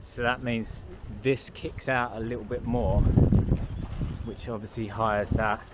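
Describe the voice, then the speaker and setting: A man's voice: medium pitch, flat delivery, a little slow, heavy background noise. A young man, casually explaining work on his self-build on an outdoor building site, recorded on a handheld action camera. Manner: practical, explaining on the go.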